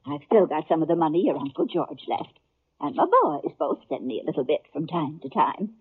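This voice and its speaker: Muffled old-radio bossy, husky American aunt. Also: female voice, low-pitched, very animated, a little fast, exaggerated voice. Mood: insistent. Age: middle-aged. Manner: folksy, chattering, insistent. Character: bossy, fussing, motherly aunt in the Old West. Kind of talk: 1950s radio drama acting. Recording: muffled 1950s radio recording.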